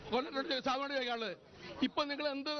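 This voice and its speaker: A man's voice: extremely high pitch, fairly even intonation, rapid-fire. A man putting a challenging question from the audience. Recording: muffled outdoor recording with crowd.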